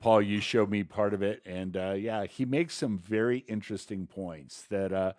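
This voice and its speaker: Lowish-voiced man chatting as podcast co-host. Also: moderate pace, a little mellow, no echo, studio quality. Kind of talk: casual conversational commentary.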